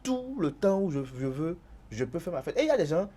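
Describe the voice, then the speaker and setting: Panelist: fast, insistent man, slightly high voice, faint hiss behind. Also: big pitch swings, warm tone. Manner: insistent, repeats words, cuts in. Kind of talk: studio panel discussion. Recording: faint background noise.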